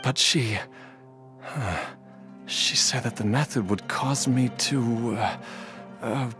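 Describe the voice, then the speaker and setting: A man playing a halting, troubled young man. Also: medium pitch, over music, close to an everyday voice. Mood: pained. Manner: halting, pained, searching for words. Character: troubled, ailing young man haunted by lost memories. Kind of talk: video game voice acting.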